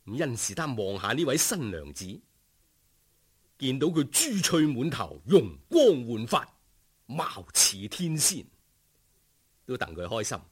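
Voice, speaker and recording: A male Cantonese storyteller narrates in an expressive, dramatic way. His husky voice swings widely in pitch while keeping a slow, savouring pace. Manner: expressive, unhurried, savouring descriptions. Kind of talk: dramatic scripted folk-tale narration.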